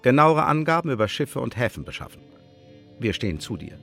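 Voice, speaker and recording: An actor playing a terse spymaster who gives clipped, commanding instructions in telegram style for a radio drama. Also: male voice, mid-range voice, sing-song, a little fast, gravelly, fairly bright tone, husky, faint music behind, heavily acted. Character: terse male spymaster dictating orders to agent.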